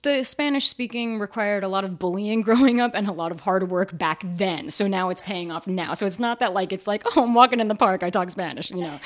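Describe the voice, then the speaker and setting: A woman with a raspy, fairly deep voice, talking candidly and chattily as an actor guest in an interview, with many fillers. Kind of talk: casual interview chat.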